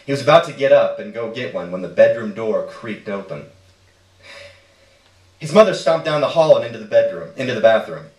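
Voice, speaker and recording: A man's voice: medium pitch, expressive, a little fast, darkish tone. A man reading a short story aloud as narration at a live stage reading. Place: indoor stage reading in a roomy space. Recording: echoey room, distant microphone.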